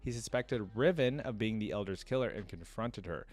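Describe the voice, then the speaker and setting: A man's voice: on the low side, animated, normal speed, darkish tone. A man hosting a casual reaction video, reading aloud and breaking off into informal, rambling asides. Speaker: reaction video host reading and commenting on game lore.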